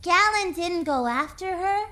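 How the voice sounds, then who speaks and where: A performer playing an eager, curious young child in a video game, expressive and earnest. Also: very high-pitched, unhurried, exaggerated voice. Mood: curious, worried. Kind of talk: video game character acting.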